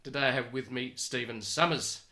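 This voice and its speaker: An Australian man hosting a conversational interview show, speaking in a halting, rambling way. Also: medium pitch, a loud crowd. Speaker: podcast host.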